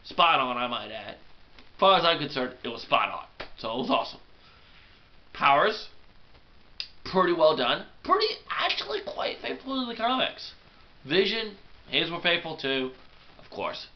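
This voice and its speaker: A young man, rambling excitedly through a casual movie review from his bedroom while fighting a cold. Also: on the high side, unhurried, pauses often, muffled recording, slight background noise. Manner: excitable, rambling, self-deprecating. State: congested with a cold. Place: small bedroom at night.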